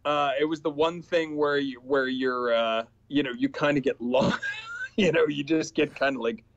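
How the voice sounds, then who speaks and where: A man in his forties, an actor guest on a video call, telling set stories with lively acted-out quotes. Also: on the high side, moderate pace. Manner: animated storytelling, acts out quoted exchanges. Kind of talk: casual interview over video call. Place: small indoor room with purple backlight. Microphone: webcam video call. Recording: slightly dull lo-fi video call audio.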